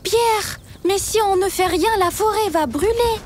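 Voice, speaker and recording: A little cartoon dinosaur girl with a very high voice. She sounds practical and gently teasing. Music plays behind her. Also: measured pace. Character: level-headed, teasing young female cartoon dinosaur machine.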